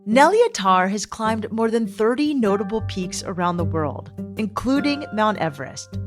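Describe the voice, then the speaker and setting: Woman, podcast host, scripted narration and interview questions, medium pitch, prominent music behind. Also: big pitch swings, normal speed.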